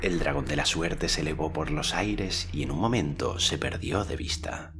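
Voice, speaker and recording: A man narrating an audiobook in a measured, expressive way. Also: a bass voice, noisy background. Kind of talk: audiobook narration with character voices.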